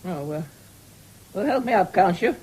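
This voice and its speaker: A husky old woman in a radio play, querulous and suspicious. Her voice swings widely as she orders and frets like an imperious, frightened invalid. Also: medium pitch, expressive, normal speed, mellow, rough recording. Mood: cantankerous, accusing. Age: older. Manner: imperious, fretful, muttering and exclaiming. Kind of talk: 1970s radio drama acting.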